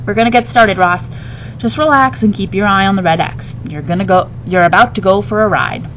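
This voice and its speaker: A woman voicing a brisk, joking male radiation technician from a children's novel, giving deadpan, teasing instructions. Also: mid-range voice, highs cut off, slight background noise, lightly acted. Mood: instructive. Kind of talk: children's novel read aloud.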